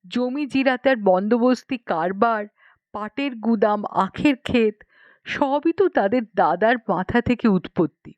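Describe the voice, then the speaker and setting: A woman narrating a literary story as an audiobook reader, in an expressive storytelling style. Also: fairly high pitch. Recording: muffled lo-fi, roomy echo.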